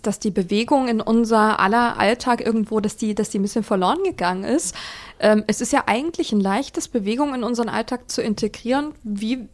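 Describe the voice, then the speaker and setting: Chatty higher-voiced German woman. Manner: chatty, repeats words, agreeable. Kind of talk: casual chat.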